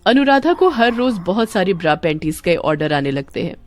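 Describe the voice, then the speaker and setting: A woman's voice: on the low side, very animated, normal speed. A woman narrating a scripted story as a storyteller, with music behind her.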